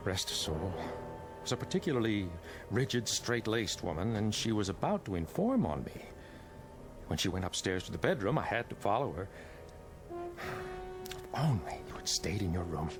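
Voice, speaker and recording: Over loud music, a coolly condescending killer in a radio drama. His voice is low and swings widely as he speaks with unhurried, menacing courtesy.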